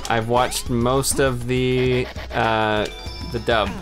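A man chats casually as a let's play co-host. His pitch sits in the middle range for a man, and game music plays clearly behind him. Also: level tone, very slow. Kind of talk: casual gameplay chat.

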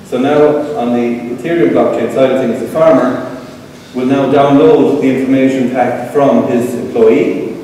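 A middle-aged man with an Irish accent giving a relaxed conference talk with a live demo, with frequent fillers, to a seated audience in an event hall. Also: mid-range voice, unhurried, a little hiss or hum. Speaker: tech presenter. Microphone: room pickup from far camera. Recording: roomy hall echo from far off.

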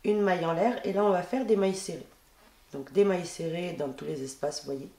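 A woman's voice: on the low side, brisk. Woman with a head cold, counting stitches. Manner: calm, counting stitches aloud while working. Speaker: crochet tutorial host. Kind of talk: step-by-step crochet instruction. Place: indoors at a wooden table.

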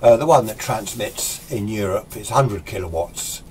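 A man's voice: lowish voice, measured pace, a little mellow, slight background noise. A British man in his sixties giving an informal, opinionated, rambling explanation as a hobbyist presenting a tutorial. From United Kingdom. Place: small indoor radio workshop.

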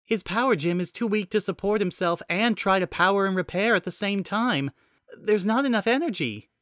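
A male narrator puts on a female android assistant with a high, bright voice. She is courteous and soft-spoken, a gentle machine helper from an audio story, recorded close and dry. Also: lively intonation, normal speed, dry recording. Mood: concerned.

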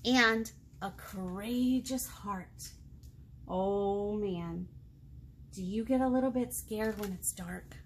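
A woman in her thirties reading a picture book aloud to young children as a storytime host, expressively, pausing to ask them questions. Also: mid-range voice, a little hiss or hum. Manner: expressive, child-directed, asks questions and pauses. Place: indoor room, seated.

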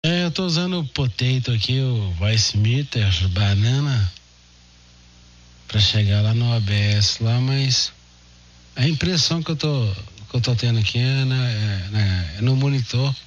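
A man's voice: medium pitch, lively intonation, a little slow. Brazilian man on dull, humming radio audio. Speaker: amateur radio operator. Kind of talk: casual radio chat. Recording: dull narrowband radio audio with hum, noise.

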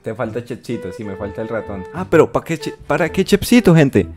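A man's voice: medium pitch, big pitch swings, a little fast, a little mellow, faint music behind. A young man with a Colombian accent, chatting and joking as he reacts to a video for his viewers, close to a studio mic in his bedroom. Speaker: reaction streamer. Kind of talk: casual reaction commentary. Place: bedroom streaming setup. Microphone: studio mic on arm in front.

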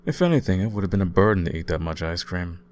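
Man acting a low-voiced, easygoing young roommate. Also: lively intonation, fast. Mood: playful. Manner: casual, playful, gently teasing. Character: easygoing, teasing, caring male student roommate. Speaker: audio roleplay performer. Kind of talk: intimate ASMR boyfriend-style roleplay, conversational acting.

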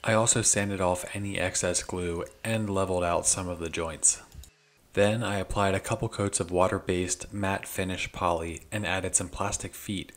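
Low-voiced man narrating a build. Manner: plain, matter-of-fact step-by-step explaining. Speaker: DIY woodworking channel host. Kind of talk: scripted voice-over narration.

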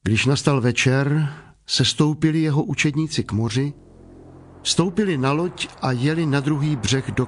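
A man narrating scripture as a measured storyteller from a script. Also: medium pitch, a little hiss or hum. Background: prominent music.

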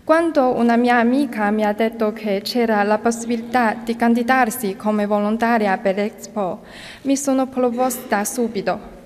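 A woman's voice: a little high, moderate pace, darkish tone. A young woman from China, a student volunteer carefully reading a prepared statement at a podium. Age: young adult. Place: large ornate state hall. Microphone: podium microphones. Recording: long hall reverb.

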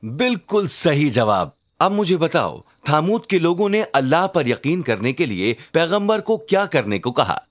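Cartoon dad telling kids stories, really animated and encouraging, bit of background noise. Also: male voice, fairly high pitch, measured pace. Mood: cheerful, encouraging. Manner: expressive, encouraging. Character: warm bespectacled father telling his child religious stories. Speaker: storyteller and narrator. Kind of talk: scripted children's story narration and quizzing.

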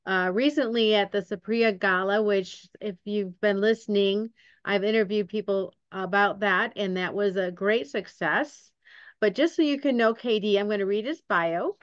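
A woman's voice: mid-range voice, normal speed, no echo, muffled recording. A middle-aged woman hosting a podcast interview over a video call, upbeat and reading her guest's bio aloud. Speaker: podcast host.

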